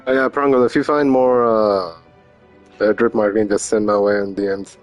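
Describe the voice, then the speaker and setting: A man with a fairly low voice chats casually on a game stream. His way of talking is slangy and informal, with the odd swear word. Faint music plays in the background. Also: steady tone, normal speed. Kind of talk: casual livestream chat.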